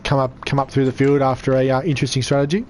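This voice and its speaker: A man commentating an online racing league, croaky from losing his voice, upbeat and chatty with viewers. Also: mid-range voice, little pitch movement, rapid-fire, a little mellow, a little hiss or hum. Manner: upbeat, chatty, reads out chat. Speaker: race commentator. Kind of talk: live sim racing commentary.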